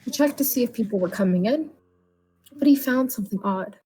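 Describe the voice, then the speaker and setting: A woman's voice: a little high, animated, brisk, warm tone, poor audio. A woman reading a written story aloud in a dramatic narrating style. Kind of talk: dramatic bedtime-story narration of a comic horror tale.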